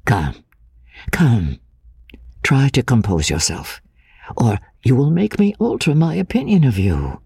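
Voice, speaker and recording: An earnest gentleman hero of a classic novel, voiced by a female reader. He speaks in a husky, dry-recorded voice with plenty of rise and fall, measured, coaxing and gently reassuring. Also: very deep voice, big pitch swings, no echo, heavily acted. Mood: coaxing, firm. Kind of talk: dramatic audiobook character reading.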